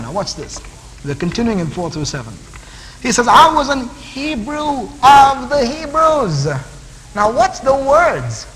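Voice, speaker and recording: A male preacher with a high voice delivers an expository sermon, sweeping up and down in pitch. He repeats and piles up phrases for emphasis and breaks off to explain. The recording carries long hall reverb and some hiss. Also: a bit bright.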